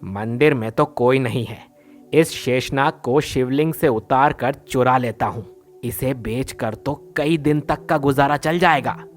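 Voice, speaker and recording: A man's voice: medium pitch, a little slow, faint music behind, lightly acted. A man playing a greedy village thief in a devotional story, brash, colloquial and grumbling. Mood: scheming, pleased.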